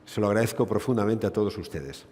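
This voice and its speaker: A man's voice: fairly low pitch, quick pace, darkish tone, a little hiss or hum. A middle-aged man the Basque Country, a gynecologist giving a witty, rhetorical conference lecture. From Basque Country, Spain. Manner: expansive, witty and rhetorical, with dry asides. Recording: lecture hall reverb.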